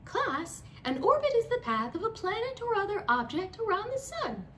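A woman voicing an excitable, quirky female schoolteacher instructing children in a storybook. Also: extremely high pitch, moderate pace, recorded from a distance, a little hiss or hum, voice pushed up, heavily acted. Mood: eager, instructive. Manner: bright, instructive exclaiming to children.

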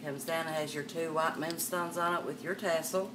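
Older woman host, low voice, chatty live-sale patter, webcam a bit far off with room noise. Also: fairly even intonation, moderate pace. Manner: chatty, calls viewers darling and miss. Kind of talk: live sales stream, chatting to viewers. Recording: distant mic with background noise.

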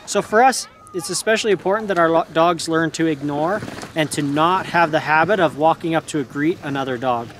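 Guy in his 30s doing a casual dog training vlog outside, pretty high voice, noisy camera audio. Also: a little fast. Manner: animated, affectionate with animals. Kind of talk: casual outdoor vlog talk. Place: outdoors in a snowy park. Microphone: camera a few feet away.

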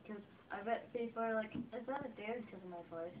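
A young girl in sixth grade joking around with her friend in a bedroom, giggly and playful. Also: brisk. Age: child. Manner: giggly, playful, drops to low mumbles. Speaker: vlogger's friend. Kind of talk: goofy sleepover vlog chat. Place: small bedroom at home. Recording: muffled lo-fi camera audio with faint hiss.

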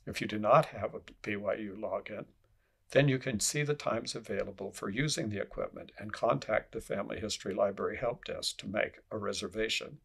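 A man reading scripted instructional narration in a measured, formal way, as an instructor would. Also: fairly low pitch, creaky, husky.

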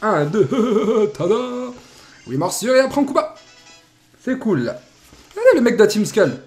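Animated young man with a cold. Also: sits high, normal speed, soft background music. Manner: playful, jokey, animated. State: has a cold. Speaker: Let's Play host. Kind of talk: casual gameplay commentary. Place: indoor room at desk.

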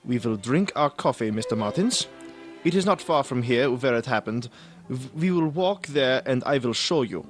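An actor playing an evasive, courteous Viennese baron in a radio drama, with an Austrian German accent and a hesitant, ingratiating manner. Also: male voice, mid-range voice, lively intonation, normal speed, over music, close to an everyday voice. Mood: evasively polite.

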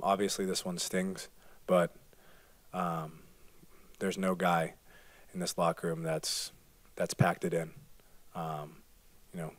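Postgame player, thirties, soft-spoken with fillers, dry close table mic. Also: male voice, low voice, no room sound. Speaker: basketball player. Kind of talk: postgame press conference answers. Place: press conference room. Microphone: table microphone.

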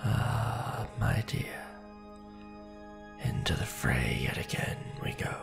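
An ancient vampire lord played by a man speaks in a heavily creaky voice that swings widely in pitch. He is formal and grandiose, a devoted yet ruthless aristocrat in an ASMR roleplay. Faint music sits behind his gravelly words. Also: sing-song, exaggerated voice. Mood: ominous, triumphant. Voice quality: deep, gravelly. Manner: formal, grandiose, deliberate phrasing with pauses.

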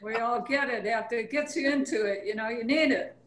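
A woman's voice: on the high side, brisk. A woman from California, a playwright, chatting casually and readily amused on a video call. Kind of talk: casual chat. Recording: roomy, echoing video call.

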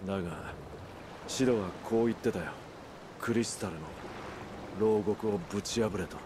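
Low-voiced, grave outlaw leader played by a man. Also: noisy background, lightly acted. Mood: solemn, resolute. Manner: earnest, grave, measured. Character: resolute, brooding adult male outlaw leader. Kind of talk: Japanese video game cutscene acting.

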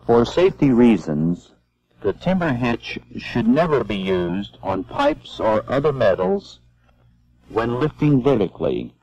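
A man giving measured, step-by-step scripted narration for an instructional film. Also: mid-range voice, sing-song, a little mellow. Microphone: voice-over. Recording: dull lo-fi old film soundtrack.

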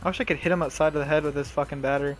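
A young man chatting casually and swearing while he plays a horror game with teammates, giving quick directions. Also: on the high side, steady tone, soft background music, rough recording. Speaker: streamer playing a horror survival game. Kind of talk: casual gameplay chat with teammates. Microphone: console headset mic.

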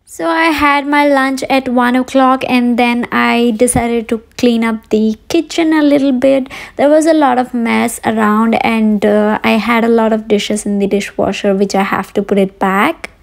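A woman's voice: fairly high pitch, steady tone, moderate pace, vocal fry, few pauses. A woman in her thirties with an Indian English accent, chatting directly to viewers while narrating her day at home. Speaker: vlogger. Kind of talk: casual daily vlog narration. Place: home kitchen and outdoors.

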